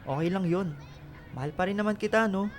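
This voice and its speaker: Man acting devoted high-voiced young sweetheart Jade. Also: a little fast, lightly acted. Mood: affectionate. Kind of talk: radio drama acting.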